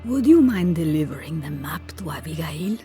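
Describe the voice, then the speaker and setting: An actress playing a kindly older village woman in a video game cutscene, speaking gently and with wistful amusement. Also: sits low, lively intonation, moderate pace, faint music behind. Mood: gently rueful.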